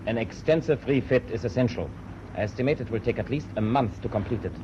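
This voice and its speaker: An actor in a radio drama playing an earnest, precise German ship's chief engineer, formal and deferential. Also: male voice, medium pitch, fast talker, lightly acted. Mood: earnest, insistent. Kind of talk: British radio drama acting. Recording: dull old radio recording, faint noise.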